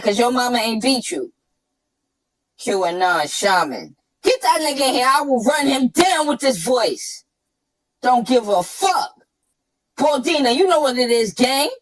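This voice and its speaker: A man with a New York accent ranting and trash-talking through a pitch-shifted chipmunk voice effect, cocky and profane. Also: lively intonation, unhurried, fairly bright tone, rough recording. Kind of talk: brash trash-talk rant.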